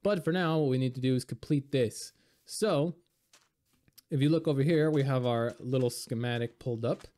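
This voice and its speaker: A man talking casually over gameplay, hoarse and a little raspy from being sick, rambling with lots of fillers. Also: mid-range voice. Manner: relaxed, rambling, many fillers. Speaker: game video creator.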